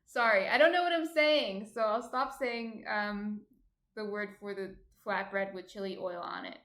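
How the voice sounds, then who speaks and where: A woman's voice: mid-range voice, moderate pace, fairly bright tone, echoey room. A woman with a slight New Jersey accent hosts a baking livestream, reading viewer comments aloud and chatting as she cooks. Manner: chatty, thinks aloud while cooking.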